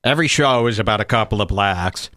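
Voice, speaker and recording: A man's voice: lowish voice, fast talker, bright, forceful tone, no echo. A man hosting a podcast, ranting in a loose, provocative comedic way and laughing at his own jokes. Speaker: podcast host. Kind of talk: casual comedic rant.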